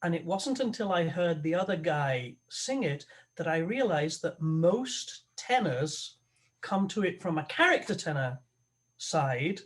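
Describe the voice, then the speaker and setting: A man, an opera tenor, talking as a guest on a video call, halting and thoughtful with many restarts. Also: a little high, sing-song. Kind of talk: conversational interview over a video call.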